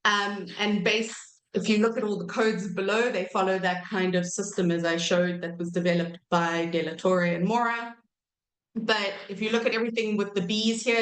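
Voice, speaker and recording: A woman in her thirties giving an academic lecture while croaky from a cold, pausing often with ums and correcting herself. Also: medium pitch, fairly bright tone, reverberant, low-quality recording. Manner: hesitant, many ums and self-corrections. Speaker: archaeology researcher giving a talk.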